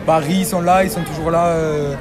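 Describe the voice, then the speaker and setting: A man's voice: a little high, steady tone, fairly quick, a crowd in the background. A man answering a vlogger's question on the street, hesitant and matter-of-fact. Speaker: passerby interviewed. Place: outdoors in the city.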